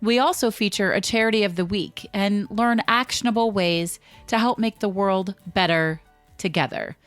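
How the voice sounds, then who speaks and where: A woman podcast host reading a scripted promo in a polished, deliberate way. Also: mid-range voice, no echo, faint music behind.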